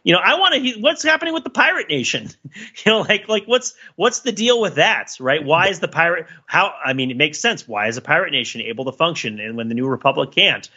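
A man's voice: on the high side, very animated, fairly quick, sharp tone, breathy edge, lo-fi sound. A man co-hosting a podcast, riffing in casual, opinionated banter full of rhetorical questions. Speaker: podcast co-host.

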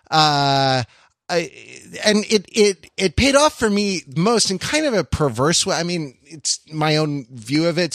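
A man co-hosting a podcast, talking casually about film in a halting, rambling way with repeated and restarted phrases. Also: fairly high pitch, a bit bright, no echo, clean studio sound. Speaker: podcast co-host.

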